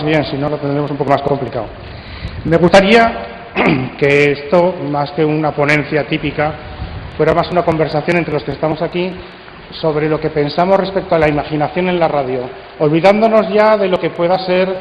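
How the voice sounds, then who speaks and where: A man giving a conference talk and leading a discussion, speaking deliberately with pauses. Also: on the high side. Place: conference hall. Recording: echoey hall, faint noise.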